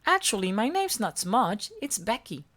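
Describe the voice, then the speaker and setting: Woman voicing a high-pitched, guarded young girl. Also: sing-song, normal speed, a bit bright. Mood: shy.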